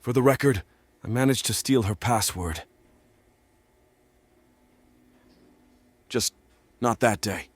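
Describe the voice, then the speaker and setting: A man playing a polite, formal male stalker in an audio drama, speaking in a measured way. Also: medium pitch, slightly rough, close to an everyday voice. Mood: calm, scheming. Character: male stalker posing as polite tech support worker, narrating calmly. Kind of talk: scripted drama narration and dialogue.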